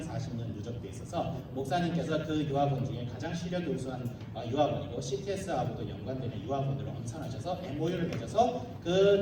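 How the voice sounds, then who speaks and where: A man delivers a slide talk as a school representative, in a slightly high voice and at a rapid pace. He explains point by point and slips in informal, humorous asides. The sound is heavily reverberant, with clear noise around him. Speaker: school representative presenting at an admissions briefing. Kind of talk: formal slide presentation to a live audience. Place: large echoey room, live audience. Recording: roomy echoing hall, distant mic, clear noise.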